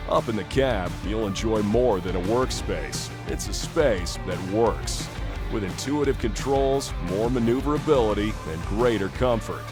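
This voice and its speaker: A man's voice: fairly low pitch, expressive, a little slow, music in the background. A man narrating a scripted commercial voice-over with a punchy, emphatic delivery. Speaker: promo narrator.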